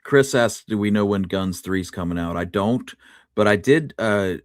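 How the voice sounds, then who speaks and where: Low-pitched man chatting on a podcast. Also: normal speed, no echo, studio quality. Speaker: podcast co-host. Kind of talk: casual podcast chat.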